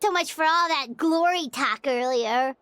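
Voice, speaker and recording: A woman voicing a small, chatty female fairy companion in a video game, blunt and quick to judge. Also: high-pitched, a bit bright, no echo. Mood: scornful. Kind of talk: game voice acting. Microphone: dry studio mic.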